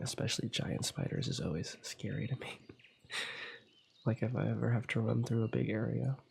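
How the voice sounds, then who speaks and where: Gravelly soft-spoken man with a cold. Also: medium pitch, slight background noise. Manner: quiet, slipping into whispers. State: has a cold. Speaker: ASMR gaming channel host. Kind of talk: soft-spoken ASMR gameplay commentary.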